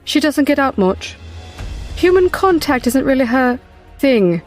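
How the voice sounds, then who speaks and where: A restless, wry woman who has lived very long and hunts down lost books. Her voice is high and swings expressively. She speaks with brisk, matter-of-fact confidence in a full-cast audio drama, over music. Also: big pitch swings, darkish tone.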